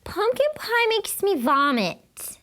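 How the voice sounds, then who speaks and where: A woman voicing an excitable, blunt first-grade girl in a children's storybook, eager and emphatic. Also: very high voice, slow pace, pitched above the performer's own voice, exaggerated voice. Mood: glum. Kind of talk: children's storybook read-aloud.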